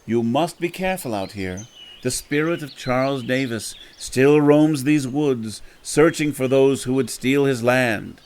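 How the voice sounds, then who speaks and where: An actor playing a formal, theatrical and ominously polite host of a haunted inn in a horror audio drama. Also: male voice, mid-range voice, unhurried, a little mellow, a little hiss or hum, lightly acted. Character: courteous, sinister male host of a haunted bed and breakfast.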